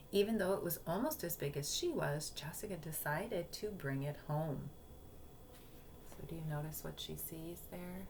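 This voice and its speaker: A woman's voice: deep voice, a little hiss or hum, low-quality recording. A woman teacher reading a storybook to children expressively and explaining it in simple phrases.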